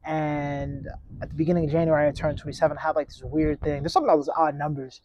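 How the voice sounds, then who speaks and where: A man's voice: fairly high pitch, level tone, quick pace, a little mellow, slight background noise. A man in his late twenties hosting a casual solo podcast outdoors on a clip-on mic, talking in a reflective, rambling, laid-back way. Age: 20s. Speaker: podcast host.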